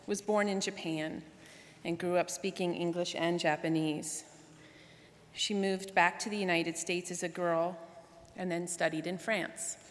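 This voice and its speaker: A woman gives a scripted tribute before a congregation. Her voice is on the lower side, carried through a big room with long reverb. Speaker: guest speaker at church. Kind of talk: prepared tribute speech to a congregation. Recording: echoey hall with long reverb.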